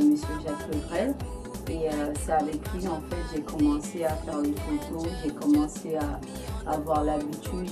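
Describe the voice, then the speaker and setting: Low-voiced woman with Caribbean English accent. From Guyana. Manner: hesitant, many fillers and restarts. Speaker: singer-songwriter guest. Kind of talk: studio interview.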